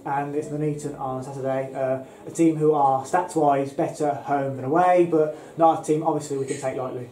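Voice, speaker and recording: A man's voice: on the high side, steady tone, normal speed, hardly any pauses, warm tone. A man interviewing a football manager after a match, opening most questions with um. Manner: opens questions with um. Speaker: club media interviewer. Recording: echoey room with light hiss.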